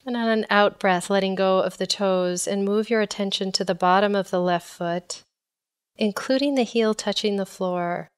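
A woman leading a guided body scan meditation in a calm, measured, instructional way. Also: medium pitch, clean tone.

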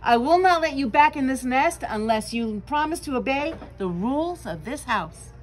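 A woman's voice: high voice, animated, normal speed. A woman voicing a strict, emphatic mother bird scolding youngsters in a storybook reading. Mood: stern. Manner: stern, emphatic.

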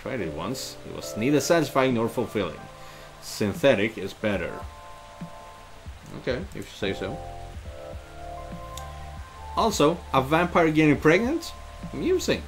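A man's voice: medium pitch, a little slow, pauses often, rough recording. A middle-aged man giving casual commentary on a game he plays, reading its text aloud expressively. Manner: expressive, exclaiming. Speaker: streamer playing a visual novel. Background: game music and rain sounds.

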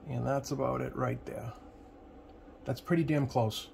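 Man with noisy background, halting delivery. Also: medium pitch, steady tone, a little mellow. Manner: plain-spoken, hesitant, pausing often mid-sentence. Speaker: CB radio repair technician presenting. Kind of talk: casual hands-on tutorial narration. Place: indoor electronics workbench. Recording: clear background noise, home workbench room.

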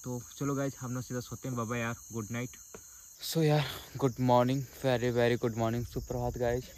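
A young man talks casually to his viewers from a forest camp. He jokes with his friends and calls his audience guys. He sits at a medium pitch, recorded on a handheld camera, with a faint hiss of the outdoors underneath. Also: little pitch movement, normal speed, darkish tone. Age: young adult. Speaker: camping vlogger. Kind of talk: casual vlog chat. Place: outdoors in a forest campsite and inside a tent.

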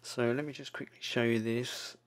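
A man presenting an informal software tutorial, speaking in a hesitant, loosely improvised way. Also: medium pitch, steady tone, normal speed. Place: indoors, screen-recording voice-over. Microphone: microphone into audio software.

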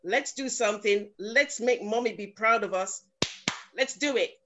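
A woman's voice: a little high, normal speed, sharp tone. Effusive woman on a video call. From not Nigeria. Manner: effusive, emotional, encouraging. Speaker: attendee. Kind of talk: casual online meeting remarks.

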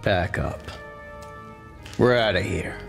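An actor playing a hardened, confrontational army sergeant in a video game, scornful and clipped in manner. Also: male voice, low-pitched, slow pace, vocal fry, faint music behind, full character voice. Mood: curt.

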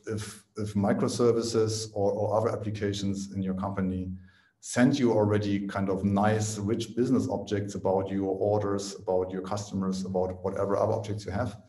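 Man with a dark, mellow voice in an echoey room. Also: on the low side. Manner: explanatory, frequent "right?" and restarts. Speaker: conference speaker. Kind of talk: casual technical Q&A.

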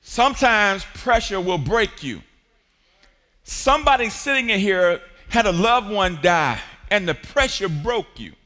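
A high-pitched, bright-toned man preaches from a church stage, swinging from quiet stretches to shouted peaks and calls for the congregation to answer. His voice rings through a large hall with a long reverb tail. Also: animated, measured pace. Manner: preaching with rising shouts and call-and-response. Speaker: pastor. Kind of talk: sermon.

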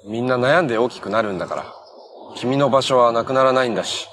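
A man's voice: mid-range voice, quick pace, fairly bright tone, close to an everyday voice. A man acting as a kind, easygoing young hero in natural television drama dialogue. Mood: gentle, encouraging. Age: young adult. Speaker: actor in a television superhero drama. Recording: noisy TV clip audio with ambience.

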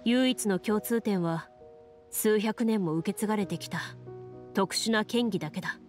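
A woman playing a composed, serious young duelist who tells lore in a measured, matter-of-fact way for a video game. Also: medium pitch, music in the background, close to an everyday voice. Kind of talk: scripted game dialogue and storytelling.

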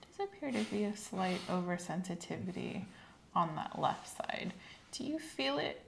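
A young woman speaking softly in a gentle, measured, instructional way as a medical examiner in an ASMR roleplay. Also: lowish voice, sing-song, creaky, noisy background. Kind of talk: soft-spoken ASMR clinical roleplay. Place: small room set up as an exam office.

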